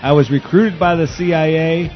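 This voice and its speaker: A man's voice: fairly high pitch, steady tone, faint music behind. Guy cohosting a late night web radio show, goofing around, muffled lo-fi sound. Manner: joking, loose, brisk. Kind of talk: casual banter on internet radio. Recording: dull lo-fi audio.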